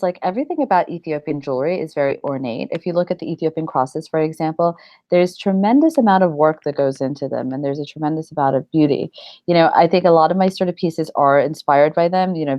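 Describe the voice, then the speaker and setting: Low-pitched middle-aged woman, chatty interviewee. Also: quick pace, dry recording, highs cut off. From United States. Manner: rambling, informal, frequent "like" and "you know". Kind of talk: casual interview chat.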